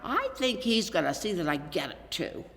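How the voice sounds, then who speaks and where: A woman voicing an eager, wishful schoolboy in a storybook, with earnest, excitable, childlike phrasing. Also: lowish voice, moderate pace. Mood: pleading. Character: eager, wishful young schoolboy who loves soccer. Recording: echoey hall with long reverb.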